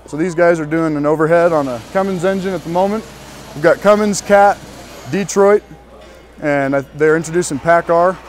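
A young man from northern Colorado walks visitors through a big indoor workshop, his voice sitting a little high. He talks casually and often stops to restart his sentences with ums. A faint hum of the shop floor runs underneath. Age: young adult. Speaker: student giving a shop tour. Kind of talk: walking tour guide talk. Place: large indoor diesel workshop.

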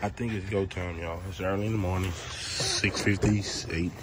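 A man, chatting casually to viewers about his dog while sick and losing his voice, clearing his throat often. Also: sits low, steady tone, moderate pace, heavy background noise. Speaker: dog breeder vlogger. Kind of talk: casual vlog to camera. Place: parked car. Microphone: phone held at arm's length.

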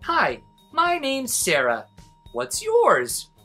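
Male reader as a young girl mouse explorer, high swooping voice, bold, eager, exclamatory, children's storybook. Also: wildly swooping pitch, very slow, pushed and bright, faint music behind, full character voice. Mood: excited, friendly. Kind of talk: children's storybook read-aloud.

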